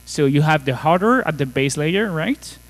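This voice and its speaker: A man from France with a clear French accent talks through a technical topic at a conference. His voice sits a little high, and he jokes casually with plenty of "uh" and "like". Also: expressive, normal speed. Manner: casual, joking, filler-heavy. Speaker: conference speaker. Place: conference hall.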